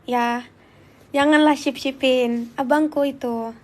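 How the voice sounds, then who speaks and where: High-voiced young woman, congested with flu. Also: steady tone, takes it slowly, a little mellow. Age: young adult. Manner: informal, slangy, chatty. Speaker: livestreamer answering viewer comments. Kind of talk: casual chat.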